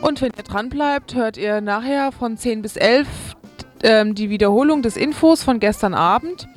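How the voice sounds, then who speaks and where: A woman's voice: a little high, moderate pace. A woman radio presenter reading scripted event listings and programme announcements. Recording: music behind the voice. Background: music.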